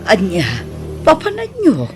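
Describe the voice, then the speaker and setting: A woman's voice: mid-range voice, wildly swooping pitch, unhurried, mellow, faint music behind, poor audio. A woman playing an emotional, affectionate older mother and grandmother in an audio drama, with an Ilocano accent. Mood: fussing.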